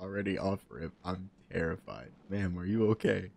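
A man's voice: deep voice, animated, normal speed, gravelly, very noisy. A young man in his twenties streaming a horror game on a headset mic, joking nervously and talking to himself. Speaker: gaming streamer. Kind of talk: casual horror game commentary.

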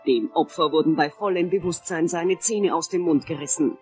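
A woman acting as a TV newsreader announces dramatically over faint music. Her voice is a little low and her manner crisp and sensational, as in a crime radio play. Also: moderate pace, a little mellow, close to an everyday voice. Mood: sensational, grave. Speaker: actress.

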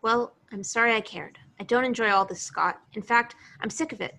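A woman's voice: on the high side, moderate pace, gravelly, sharp tone. A woman playing a blunt, guarded young action heroine in a table read over a video call. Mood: hurt, fed up.

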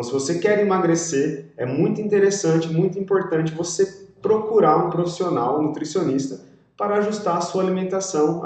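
A man's voice: high-pitched, darkish tone. A young man from Brazil, a sports nutritionist, explaining steadily to camera in a small room and checking in with his viewers as he goes. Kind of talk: educational video talk to camera. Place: small indoor room with pink lighting. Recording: very echoey room, distant mic.

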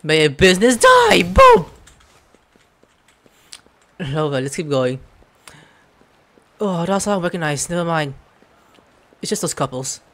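Animated young man doing game commentary. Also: on the high side, a little slow, long pauses. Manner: jokey, rambling. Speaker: gaming streamer. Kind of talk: casual playthrough commentary. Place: indoor room at home.

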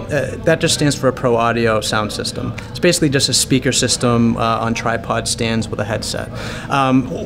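A man's voice: a little high, background noise. A man in his thirties giving casual, conversational training to camera in an informal, rambling way. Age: 30s. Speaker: paint party business owner giving training. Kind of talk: casual instructional talk to camera. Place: small restaurant dining room, indoors.

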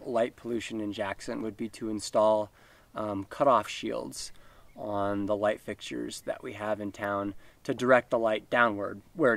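A man in his thirties giving thoughtful, halting explanations in an outdoor interview. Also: lowish voice, gravelly. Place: outdoors at a picnic table. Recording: loud music over interview. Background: loud music.

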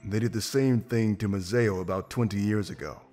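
Casting: confident, pragmatic wolf sellsword for a fantasy game, male actor, low register, blunt delivery over music. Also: moderate pace, warm tone, natural voice. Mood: explaining. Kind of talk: video game voice acting. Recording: music behind.

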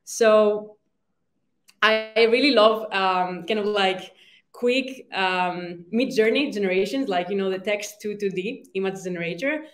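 A woman's voice: mid-range voice, measured pace. A young woman from Greece, a startup founder giving a conference talk, hesitant, with many ums and restarts. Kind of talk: conference presentation. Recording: roomy reverb.

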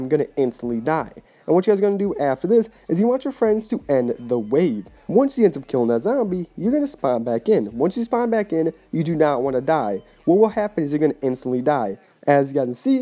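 A man's voice: fairly high pitch, warm tone. A young man who is sick, casually narrating a video game glitch tutorial and repeatedly addressing viewers as "you guys". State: sick, voice a bit off. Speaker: gaming channel host.

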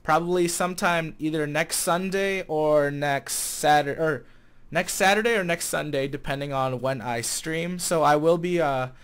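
A man's voice: on the high side, normal speed, clean tone. A twenty-year-old man with an American accent talks casually to camera as a vlogger, easygoing and a bit hesitant as he thinks aloud. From Chicago area, United States. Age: 20 years old. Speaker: vlogger and game server staff manager. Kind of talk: casual vlog chat to camera. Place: small room at home, indoors. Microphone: desk condenser mic with pop filter.

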